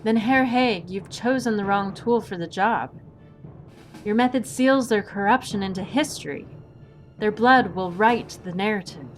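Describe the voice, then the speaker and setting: A woman's voice: medium pitch, a little slow, soft background music, lightly acted. Woman playing a composed, witty swashbuckling heroine. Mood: persuasive. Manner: polite, unruffled, wryly philosophical. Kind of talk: audio drama acting.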